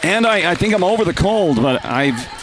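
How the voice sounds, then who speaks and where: A man with a nasal, congested voice from a lingering cold, calling a live high school basketball game in a chatty way and raising his voice on big plays. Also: animated, moderate pace, a faint crowd, a little hiss or hum. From Northern Arizona. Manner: chatty, rises to loud calls on big plays. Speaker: play-by-play announcer.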